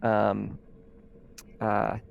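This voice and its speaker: A man playing a needy local colony official in a tabletop sci-fi game, asking haltingly for help. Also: lowish voice, monotone, gravelly, narrow, tinny audio, slight background noise, exaggerated voice. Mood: hesitant, hopeful. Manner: hesitant, halting requests.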